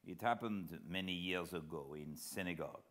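A man voicing a wise, unhurried older Frenchman telling a hunting tale very slowly and deliberately in an audiobook reading. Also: low voice, a bit bright. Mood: suspenseful, reflective. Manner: very slow, deliberate, measured storytelling.

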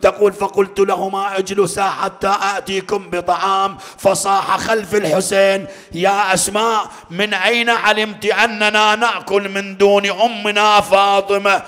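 A high, piercing man's voice, echoing with long reverb through a hall. He delivers a mournful religious lamentation as dramatic storytelling, stretching his words with tearful emphasis. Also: level tone, moderate pace, bright, forceful tone, soft background music. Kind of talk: Shia lamentation sermon, dramatic storytelling with chanted passages.

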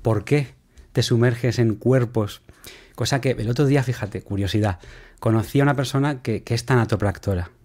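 A man's voice: medium pitch, moderate pace, a little mellow. A man in his thirties hosting an interview over a video call, asking long, conversational questions. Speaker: podcast host.

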